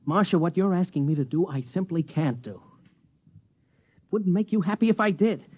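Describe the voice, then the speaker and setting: Mellow American rocket pilot husband, old radio. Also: male voice, high-pitched, animated, normal speed. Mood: pleading, frustrated. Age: adult. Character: devoted rocket pilot husband, teasing, stubborn about his career. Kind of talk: 1950s radio drama acting. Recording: muffled vintage 1950s radio recording.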